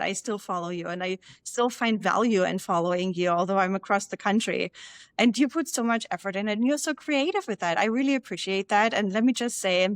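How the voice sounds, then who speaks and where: A woman with a German accent hosting a podcast interview, chatty and quick to laugh. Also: medium pitch, dry recording. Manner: chatty, warm praise, laughs often. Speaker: podcast host. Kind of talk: casual interview chat.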